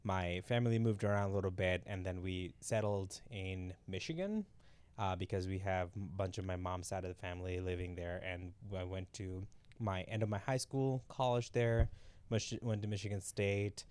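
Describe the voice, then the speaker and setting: A young man from Bangladesh, the show's producer, chatting in a relaxed way with lots of fillers. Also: low-pitched, moderate pace, smooth, no room sound. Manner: relaxed, laughs easily, many "like" fillers. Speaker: podcast producer and co-host. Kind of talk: casual podcast chat.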